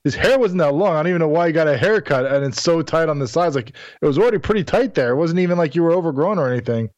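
A man's voice: fairly high pitch, animated, fast talker, darkish tone, dry recording. A man bantering casually as a co-host, heard over a slightly dull, lo-fi feed.

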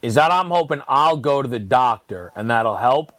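A man's voice: medium pitch, lively intonation, normal speed, a bit bright, dry recording. A man co-hosting a sports radio show, teasing his partner in a dry, casual way.

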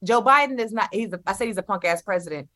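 A woman's voice: medium pitch, fast talker, sharp tone. A woman in her thirties, a guest in a casual political chat, speaking outspokenly and laughing easily. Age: 30s.

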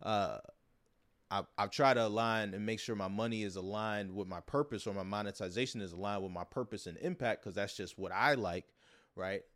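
A man with a fairly low voice talks casually as a podcast host. He is long-winded, often repeating a word or phrase as he works his way toward a point. The delivery is conversational and unscripted. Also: brisk, fairly bright tone. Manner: long-winded, repeats and circles back on words.

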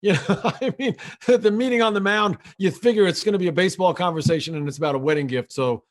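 A man hosting a podcast, eagerly firing quick questions back and forth with his guest. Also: sits high. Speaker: podcast host. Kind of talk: podcast conversation.

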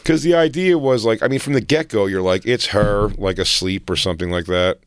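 Co-host, man in his thirties, lowish voice, wry rambling talker, dry studio sound. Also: fairly quick, smooth, no room sound. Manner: rambling, wry, trails off and laughs. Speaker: podcast co-host. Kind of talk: casual comedic chat.

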